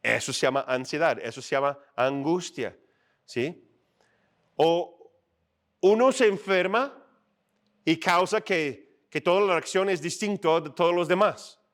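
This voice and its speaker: A middle-aged male pastor preaches on a church stage, his voice sitting a little high for a man. He talks in a loose, conversational way, acting out little everyday scenes and often checking in with the congregation. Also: unhurried, long pauses. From outside Mexico. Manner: conversational, animated, acts out little scenes and asks "¿no?". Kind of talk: sermon.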